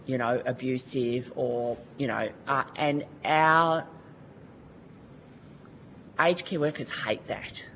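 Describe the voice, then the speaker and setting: A woman's voice: very deep voice, fairly even intonation, slow, long pauses, a little hiss or hum, poor audio. An Australian woman giving earnest, colloquial testimony at a Senate committee hearing. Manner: earnest, colloquial, restarts often. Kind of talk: formal testimony.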